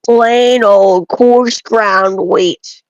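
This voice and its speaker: A woman's voice: mid-range voice, fairly even intonation, very slow, no room sound, dull audio. A woman reading a scripted monologue aloud as an amateur performance. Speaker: amateur reader of a game character's dialogue. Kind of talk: dramatic read-aloud of scripted monologue with casual asides.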